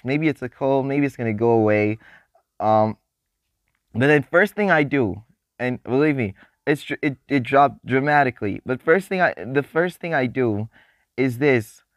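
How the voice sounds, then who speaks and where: Excited teenage boy, halting restarts. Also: no room sound, studio quality. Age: teens. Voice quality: voice newly deepened. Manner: rambling, halting restarts, laughs often; excited, happy. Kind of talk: casual faith vlog.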